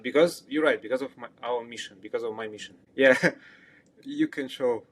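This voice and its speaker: A man's voice: medium pitch, moderate pace. Kazakh-accented man over background noise. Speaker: startup founder, interview guest. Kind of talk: casual interview chat.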